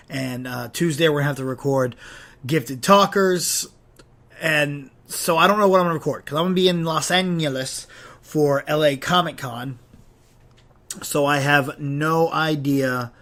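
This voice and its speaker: A man hosting his own podcast in a loose, rambling chat, sounding nasal and stuffed up from a head cold. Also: a little high. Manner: rambling, relaxed, talks straight to listeners. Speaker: podcast host. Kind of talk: casual solo podcast chat.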